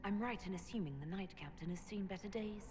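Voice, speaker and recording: An actress playing a composed, sceptical heroine in a fantasy game, with a dry, understated manner. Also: female voice, medium pitch, fast, background noise, lightly acted. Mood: calm, dry. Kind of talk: naturalistic fantasy game acting.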